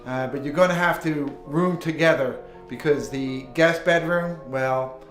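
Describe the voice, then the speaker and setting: A man's voice: fairly high pitch, unhurried, a bit bright. A middle-aged man acting as a proud, upbeat father in an amateur short film, hearty and earnest. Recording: echoey room, music underneath.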